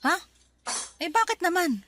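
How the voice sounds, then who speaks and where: A woman's voice: very high-pitched, sing-song, measured pace, bright, forceful tone, a little hiss or hum, exaggerated voice. A woman acting as a devoted, reassuring middle-aged mother in a radio drama. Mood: affectionate, insisting. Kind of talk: Tagalog radio drama dialogue. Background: background music.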